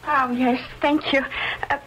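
A woman's voice: sits high, little pitch movement, measured pace, highs cut off, very noisy. An actress playing a poor young working-class girl, hesitant and timid but a little saucy, in an old radio drama. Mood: wary.

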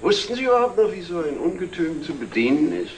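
Sardonic dinner guest, lively swoopy delivery, bit far from mic, old 60s tv drama. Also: male voice, high-pitched, quick pace. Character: sardonic male dinner guest needling the director. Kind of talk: 1960s German television drama acting. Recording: old TV soundtrack, distant, faint noise.

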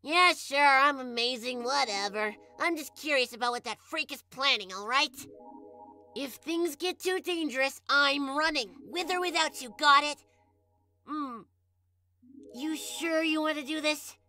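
A woman's voice: high voice, measured pace, bright, forceful tone. A woman voicing a small, selfish, sarcastic talking flower with an exasperated, scornful manner, in a comic dub. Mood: grudging, irritated. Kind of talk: animated comic dub voice acting. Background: music.

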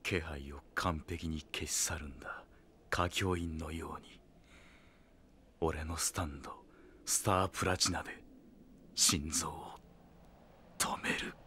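A man playing a tough, stoic teenage hero in an audio drama, speaking in a terse, gruff, deadpan way. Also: very deep voice, takes it slowly, pauses often, a little hiss or hum, exaggerated voice. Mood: strained, hushed. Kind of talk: Japanese anime-style audio drama acting.

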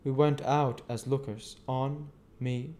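A man's voice: medium pitch, fairly even intonation, slow pace, dark tone, pitched above the performer's own voice, natural voice. A man reading aloud voices a plain-spoken male village blacksmith with homely, hesitant speech.

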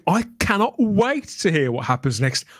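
A man's voice: on the high side, very animated, normal speed, slight background noise. A middle-aged man hosting a podcast in a conversational interview style, speaking into a close desk microphone at home. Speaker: podcast host. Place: small home room, indoors. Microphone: desk microphone close to the mouth.

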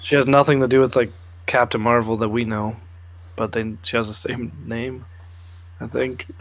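Flat-toned young man chatting casually. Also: mid-range voice, normal speed. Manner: casual, swears freely. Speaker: co-host of a pop culture news show. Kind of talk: casual chat, explaining film trailers and comics.

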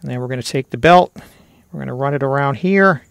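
Casting: middle-aged male tutorial host, conversational and methodical, creaky mid-pitched voice. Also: fast, no echo. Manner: conversational, step-by-step explaining. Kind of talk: casual hands-on instructional narration.

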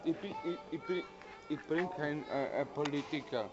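A middle-aged man answering questions outside a café, halting and hesitant, repeating his words as he searches for them. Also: fairly high pitch, a crowd in the background. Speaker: interviewee. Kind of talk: casual street chat. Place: outdoors in front of a café. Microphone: handheld camera nearby. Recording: muffled lo-fi sound with people around.

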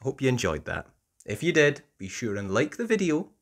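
A woman reading a children's storybook aloud as host, in expressive narration. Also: extremely low pitch, moderate pace. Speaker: storybook reader, channel host.

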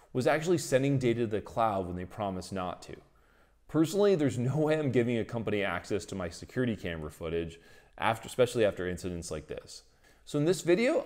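A man's voice: medium pitch, darkish tone. A man, explaining technology as the host of a tutorial video. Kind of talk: explanatory tech tutorial, presenting to camera and in voice-over.

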